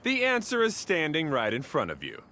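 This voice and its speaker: A man's voice: fairly high pitch, huge pitch swings, normal speed, pushed and bright, faint music behind, heavily acted. An actor playing an irritable, sarcastic young man quarrelling with a co-worker in a video game. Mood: sardonic. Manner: gruff, exasperated, sarcastic.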